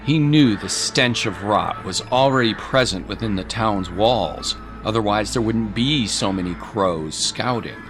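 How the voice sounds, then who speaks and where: A man narrates a dramatic tale in a fairly low voice. He is solemn and takes his time over each phrase, with music playing clearly behind him. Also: animated, a little slow, hardly any pauses. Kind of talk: scripted dramatic storytelling narration.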